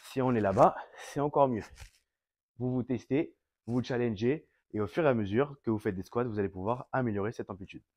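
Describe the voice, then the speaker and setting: Un coach sportif français enrhumé qui explique pas à pas un circuit d'entraînement à la maison, en parlant directement aux spectateurs et en s'essoufflant vite. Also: male voice, mid-range voice, fairly quick, a little mellow, dry recording. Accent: French. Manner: explains step by step, addresses viewers directly. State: has a cold, breathes through the mouth, gets out of breath fast. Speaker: fitness coach. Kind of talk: home workout instruction. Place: fitness studio.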